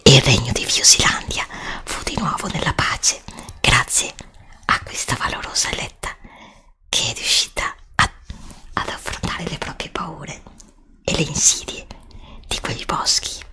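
A woman's voice: deep voice, animated, a little slow, pushed and bright. A woman storyteller giving a storybook narration in ASMR style.

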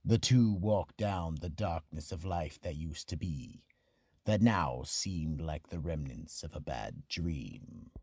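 An actor playing a grave, ominous horror storyteller, husky and measured, narrating a mystery radio drama. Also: male voice, extremely low pitch, lively intonation, darkish tone, dry recording, full character voice.

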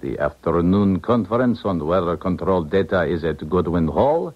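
An actor playing a cool, calculating Eastern Bloc scientist in a 1970s radio drama, formal and deliberate. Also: male voice, deep voice, lively intonation. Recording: muffled old radio broadcast.

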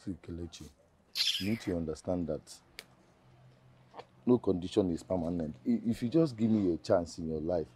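A man's voice: mid-range voice, big pitch swings, fairly quick, background noise, natural voice. A man acting a poor, lovestruck, hot-tempered village suitor in a film drama, with a Nigerian Igbo accent and an emotional delivery. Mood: soft pleading. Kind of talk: Nollywood-style film acting.

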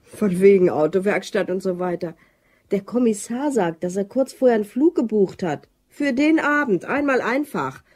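Radio crime drama: scheming female office worker, dry, commanding, husky. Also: medium pitch, lively intonation, normal speed, darkish tone. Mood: scornful, smug.

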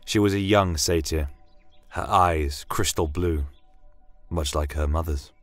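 Low-pitched male fantasy storyteller, close and dry. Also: unhurried, dry recording, faint music behind. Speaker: storyteller narrating in first person. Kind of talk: scripted dramatic fantasy narration.

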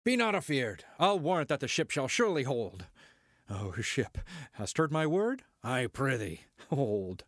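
An actor playing a cocky, boastful starship pilot, swaggering through archaic verse in an audio drama. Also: male voice, mid-range voice, big pitch swings, a little slow, dry recording, clean studio sound. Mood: bracing.

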